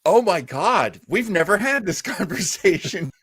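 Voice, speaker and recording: A man's voice: high-pitched, sing-song, a little slow, a bit bright, husky, no room sound. A man hosting a casual talk show discussion, emphatic and given to repeating phrases to make his point. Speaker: podcast host.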